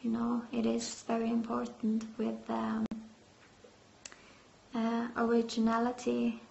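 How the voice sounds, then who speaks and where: Female metal vocalist, halting and filler-heavy, flat slow speech, raised pitch, echoey lo-fi room. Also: creaky. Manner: hesitant, many fillers. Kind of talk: interview answers. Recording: roomy, dull lo-fi sound.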